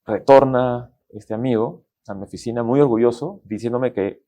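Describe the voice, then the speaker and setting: Peruvian exec, middle-aged, Peruvian Spanish accent, loose colloquial storyteller, mellow voice. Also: male voice, mid-range voice, normal speed. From Peru. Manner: relaxed, colloquial, acts out quoted dialogue. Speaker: guest executive. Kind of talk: casual interview storytelling.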